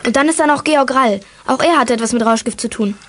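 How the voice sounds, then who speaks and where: A woman's voice: high-pitched, fast, fairly bright tone, a little hiss or hum. A woman playing a self-assured, earnest young teenage detective in a children's audio drama. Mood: probing. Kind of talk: children's radio play acting.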